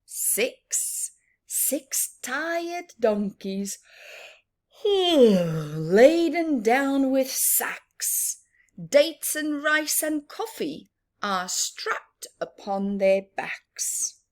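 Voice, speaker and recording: Woman reading to young children, very slow, animated. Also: sits high. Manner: sing-song, expressive, prompting listeners with questions. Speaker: children's story reader and teacher. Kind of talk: interactive picture book read-aloud for young children.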